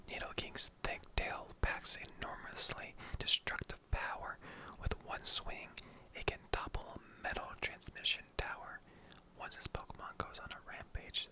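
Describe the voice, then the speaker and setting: A person reading aloud in a breathy, unvoiced ASMR whisper, soft and playful, as an online video creator would. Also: poor audio.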